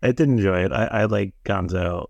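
A man co-hosts a podcast, talking haltingly and often pausing on uh. His low voice has a gravelly, fry-laden edge. The recording is close and completely dry. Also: no room sound, highs cut off. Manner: halting, restarts with ums and uhs. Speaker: podcast co-host. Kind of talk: casual chat.

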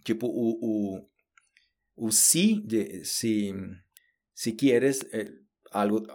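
A Brazilian man with a Brazilian Portuguese accent, giving a relaxed, chatty podcast lesson and addressing learners directly. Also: on the low side, drawn-out words. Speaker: Portuguese teacher. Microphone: solo audio recording.

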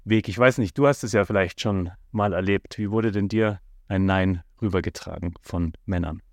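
A man talking calmly and at length on a casual podcast, giving advice. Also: low-pitched, dry recording, studio quality. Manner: calm, measured, explains at length with fillers. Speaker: podcast co-host.

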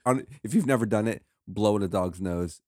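A man's voice: on the low side, fairly quick, darkish tone, no room sound, clean studio sound. Easygoing man in his thirties. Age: 30s. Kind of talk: casual chat among friends.

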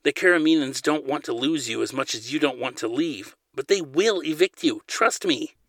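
A young woman from an audiobook, coaxing and caring, played by a man. She speaks in a raised pitch with a playful but insistent manner. The recording is very dry. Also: fairly quick, a bit bright, dry recording, lightly acted. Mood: coaxing, firm. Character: playful, caring, firm young woman, prince's trusted friend. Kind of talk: audiobook character dialogue.

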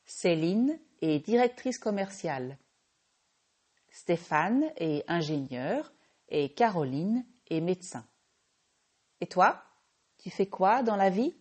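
A French woman who teaches French, hosting a slow, clear language-lesson podcast and patiently correcting her own small slips. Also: lowish voice, very animated, a little mellow. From France.

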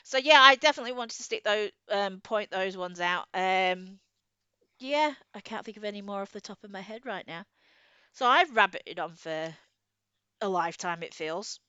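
A woman's voice: medium pitch, expressive, normal speed, sharp tone, smooth, no echo, dull audio. A middle-aged woman chatting casually about books as a podcast co-host, enthusiastic and self-deprecating. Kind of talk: casual book chat.

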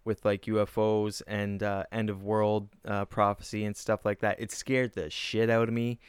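A man's voice: on the low side, flat delivery, moderate pace, clean tone, no room sound, studio quality. A man, a comedian, talking casually in a reflective, earnest way. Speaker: comedian guest. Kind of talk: casual chat.

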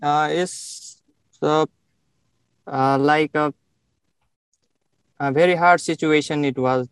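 A young man from Nepal answers interview questions slowly and haltingly, reaching for words between frequent fillers. His voice sits fairly high for a man and sounds smooth and clear. The recording is dry and close, with no room sound. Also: fairly even intonation, no room sound. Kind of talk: casual interview.

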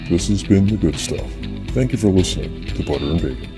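A man's voice: low-pitched, fairly quick, vocal fry. A man reading a scripted podcast outro as the announcer. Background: music.

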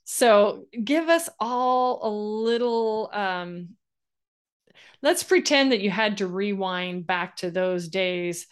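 A woman's voice: medium pitch, lively intonation, takes it slowly, clean tone. Woman host, friendly and chatty, laughs easily while asking questions. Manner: chatty and giggly, trips over her words. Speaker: podcast host. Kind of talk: casual interview conversation.

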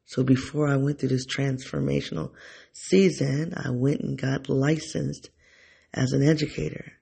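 A man's voice: mid-range voice, flat delivery, a little slow, creaky, dark tone, breathy edge. A man thinking aloud in a reflective solo monologue, often rephrasing himself and talking straight to his listeners. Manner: talks directly to listeners, informal.

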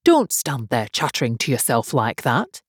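A man's voice: mid-range voice, wildly swooping pitch, slightly rough, no echo, clean studio sound. Man playing Humpty Dumpty, a pompous, wildly swooping egg creature. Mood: impatient, haughty. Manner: haughty, blustering, emphatic, with halting stammers. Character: pompous, touchy, easily offended male egg creature. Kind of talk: storybook character reading.

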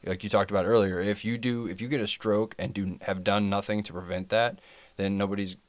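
A man with a very deep voice talks through health facts in a steady, explanatory way. He sounds close to the mic, with a dry sound and no echo. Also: brisk. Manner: explanatory, matter-of-fact. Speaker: podcast co-host, health professional. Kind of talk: casual chat.

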